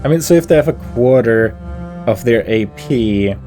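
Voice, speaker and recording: A man commentating his gameplay, thinking aloud as he weighs options, with pauses and fillers. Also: mid-range voice, animated, dark tone, faint music behind. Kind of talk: thinking aloud while playing.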